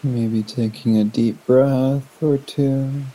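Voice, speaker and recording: A man leading a guided meditation in a gentle, unhurried manner with long pauses. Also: mid-range voice, fairly even intonation, poor audio. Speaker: meditation teacher.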